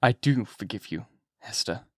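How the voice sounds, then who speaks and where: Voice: mid-range voice, measured pace, darkish tone, dry recording, studio quality, pitched above the performer's own voice, lightly acted. A reader voicing a tormented guilt-ridden minister. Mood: sorrowful. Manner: grieving, archaic speech. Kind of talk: audiobook character voice.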